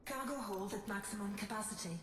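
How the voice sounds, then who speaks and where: A woman's voice: medium pitch, brisk, roomy sound, a little hiss or hum, close to an everyday voice. A woman voicing a terse, impersonal spaceship system announcer in a game.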